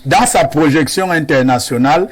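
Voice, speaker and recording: A man from Cameroon, speaking as a guest on a televised panel debate in a measured, lecturing way. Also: on the high side, slight background noise.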